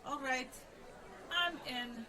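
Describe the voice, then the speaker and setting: A woman playing a skeptical spellcaster in a comedy radio play, dry and measured. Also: high-pitched, sharp tone, some chatter behind, full character voice. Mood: wry. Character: skeptical spellcaster fond of curses.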